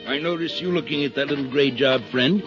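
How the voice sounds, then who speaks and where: An actor playing a folksy, slippery used car dealer in a 1950s radio drama, with blustering homespun sales patter. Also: male voice, mid-range voice, brisk, natural voice. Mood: wheedling. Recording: dull 1950s radio recording, music.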